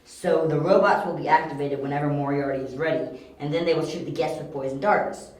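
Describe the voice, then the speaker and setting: A man playing a sharp, confident young detective who explains and insists, in an amateur audio drama. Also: fairly high pitch, steady tone, brisk, lightly acted. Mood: deducing. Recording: very echoey, roomy amateur recording.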